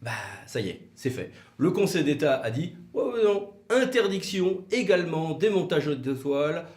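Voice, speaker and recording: A man presenting an explanatory legal video in a conversational way, with rhetorical questions and hesitant pauses. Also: fairly high pitch, lively intonation, a little mellow. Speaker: legal commentator for a law firm, co-presenter. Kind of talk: explanatory legal video, semi-scripted two-hander. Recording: roomy, echoing sound.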